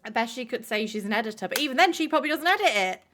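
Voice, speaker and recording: A woman's voice: a little high, animated, very fast, noisy background. A woman hosting a live stream, chatting casually with viewers, filling her talk with "like" and swearing freely. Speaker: livestream host.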